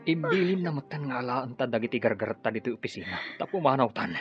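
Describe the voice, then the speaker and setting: A man's voice: medium pitch, animated, fast talker, faint music behind, natural voice. A man acting in a radio drama as a shifty, flustered office schemer, stammering and evasive. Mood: agitated, regretful. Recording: dull muffled radio drama recording.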